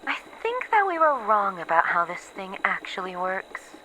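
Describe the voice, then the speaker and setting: A woman playing a bitter, weary researcher losing her memories, in a halting, sardonic, sighing monologue for an ASMR horror roleplay. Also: medium pitch, big pitch swings, bright, forceful tone, a little hiss or hum, rough recording. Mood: musing. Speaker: voice actor in an audio roleplay.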